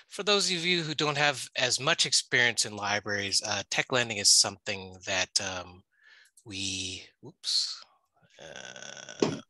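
Bright-voiced man presenting on a call. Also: lowish voice, dry recording, low-quality recording. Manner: chatty, frequent fillers and restarts. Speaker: library staff presenter. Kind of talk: casual slide presentation on a video call.

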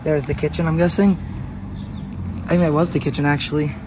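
A teenage boy filming a hurried, chatty walk-around vlog of a closed restaurant, apologizing for keeping it short, on a phone held at arm's length outdoors. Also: on the high side, level tone. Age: teens. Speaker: vlogger. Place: outdoors around an empty fast-food building by a busy road. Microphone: phone camera held at arm's length. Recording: loud traffic noise outdoors.

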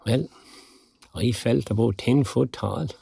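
A recently retired Irishman with a gravelly edge to his voice. He talks colloquially and with wry good humour, in a naturalistic audio drama, recorded close and dry. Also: mid-range voice, takes it slowly, warm tone, dry recording, exaggerated voice. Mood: warm, reflective. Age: older.

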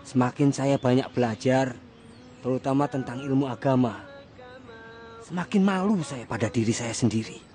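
An actor playing a soft-spoken former militant man who has come back from prison humbled and repentant, in a radio drama. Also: mid-range voice, normal speed, soft background music, natural voice. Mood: remorseful. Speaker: villager returned from prison.

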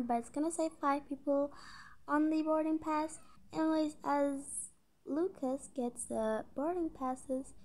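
High-voiced woman telling a roleplay story. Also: darkish tone. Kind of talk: informal roleplay narration.

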